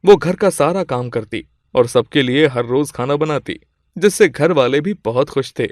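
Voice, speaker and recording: A man's voice: medium pitch, animated, normal speed, a little mellow, no room sound, clean studio sound. A man reading scripted moral-story narration as the storyteller.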